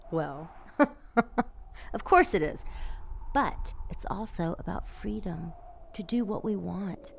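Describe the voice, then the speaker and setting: Sultry, slow-drawling demon seductress. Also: female voice, mid-range voice, animated, a little hiss or hum. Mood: seductive, persuasive. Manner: sardonic, teasing, breathy purring on key words. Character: sultry, rebellious female demon seductress, scheming and lustful. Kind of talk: audio drama acting.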